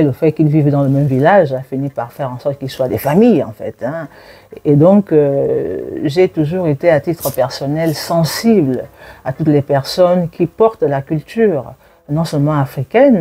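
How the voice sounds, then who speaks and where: A woman in her forties from Gabon, a university lecturer speaking in an interview and recalling her childhood in a reflective way, pausing with fillers and laughing now and then. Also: sits low, dark tone, husky. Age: 40s. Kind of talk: interview, personal testimony.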